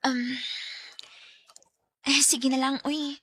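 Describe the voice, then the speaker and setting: A timid, obedient teenage schoolgirl in a radio drama. Her voice sits very high and bright, with a Cebuano Filipino accent. She hesitates and murmurs before answering, like a girl anxious about upsetting her parents. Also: level tone, very slow, no room sound, heavily acted. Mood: shy, worried.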